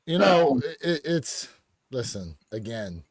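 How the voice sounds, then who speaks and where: A man's voice: medium pitch, sing-song, slow, breathy edge, no echo, clean studio sound. An American man hosting a casual livestream chat in a conversational, slangy way. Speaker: podcast host.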